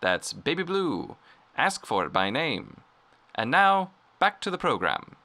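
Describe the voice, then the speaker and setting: A man playing an earnest old-time radio pitchman, delivering a polished, salesmanlike vintage advertisement. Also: sing-song, measured pace, pushed and bright, exaggerated voice.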